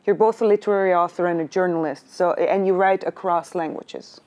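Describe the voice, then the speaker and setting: Mellow-voiced Hungarian-born woman host. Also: fairly low pitch, steady tone, dry recording. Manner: measured questions. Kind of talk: moderating a panel. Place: studio library set.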